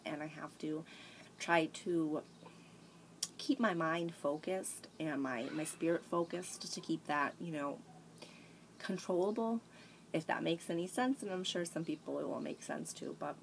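Woman in her thirties, low voice, candid rambling vlog, tablet mic, faint hiss. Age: 30s. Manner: rambling, candid, frequent restarts and sighs. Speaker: vlogger. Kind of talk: casual confessional chat. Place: small room by a sunny window, indoors. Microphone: tablet camera at arm's length.

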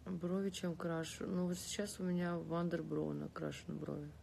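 A woman's voice: lowish voice, normal speed, gravelly, slight background noise. A woman in her thirties from Russia, speaking with a Russian accent as she answers viewer comments on a casual live stream from her bed. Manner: relaxed, lying back, reading comments aloud and answering. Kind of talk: casual live chat, switching between languages. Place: bedroom, lying against pillows indoors. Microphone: phone held close.

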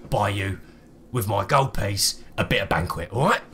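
Bright, forceful British man. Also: fairly low pitch, lively intonation, moderate pace, a little hiss or hum. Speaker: player in a tabletop role-playing game. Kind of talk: casual in-character banter.

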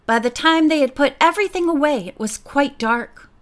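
A woman narrating a vintage girls' mystery novel in a steady, measured storybook reading. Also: high voice. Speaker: audiobook narrator.

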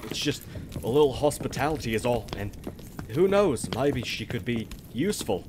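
A man playing a soft-hearted, deferential pirate crewman in an audio roleplay. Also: medium pitch, sing-song, moderate pace, background noise, lightly acted. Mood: nervous pleading. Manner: stammering, pleading before his captain. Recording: loud rain and ship ambience.

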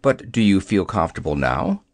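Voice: low-pitched, big pitch swings, moderate pace, no echo, pitched below the performer's own voice, heavily acted. A reader voicing a polite, inquisitive little girl in a storybook. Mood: curious.

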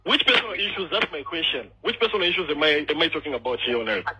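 A man's voice: a little high, very animated, rapid-fire, over a noisy crowd. A young man with a Zambian accent arguing over the phone, politely and persistently. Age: young adult. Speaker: local resident on a phone call. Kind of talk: phone argument. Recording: noisy, tinny recorded phone call.

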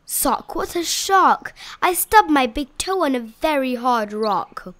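A young woman reading rhyming children's picture books aloud in an expressive, playful storytelling style. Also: sits high, slow. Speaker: children's picture book reader.

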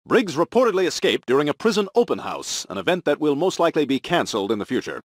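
Cartoon newsreader, male, middle-aged: smug, declamatory, slightly high husky voice, lively intonation. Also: fast talker, dry recording, clean studio sound. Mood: pompous, official. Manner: polished, declamatory. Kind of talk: mock news broadcast reading.

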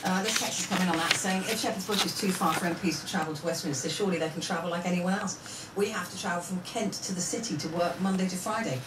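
A woman presents a live phone-in with blunt, sardonic opinions. She sounds far from the microphone in a roomy space, with clear noise around her. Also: lowish voice, steady tone, fast talker, hardly any pauses. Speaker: radio phone-in host. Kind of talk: opinionated live talk radio. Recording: roomy radio playback, distant, noisy.

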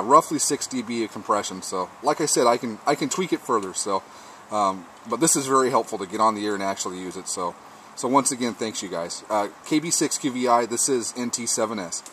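A man's voice: on the low side, fairly bright tone. A man talking casually as an amateur radio operator, trading call signs and test reports. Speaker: amateur radio operator testing his rig. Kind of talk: casual ham radio exchange. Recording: clearly noisy outdoor radio-shack recording.

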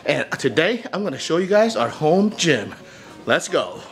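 A middle-aged man giving a casual vlog tour, speaking haltingly as he searches for words. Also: on the high side, very animated, fairly bright tone. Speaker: vlogger, channel host. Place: small garage home gym, indoors. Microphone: camera mic, handheld or a few feet away. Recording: echoey garage with music behind.

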